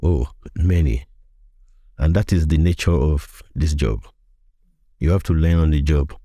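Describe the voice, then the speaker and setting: Casting: older Nigerian male voiceover veteran, extremely deep and dark voice, reminiscing in interview. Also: measured pace, no echo, studio quality. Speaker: podcast guest, veteran voiceover artist.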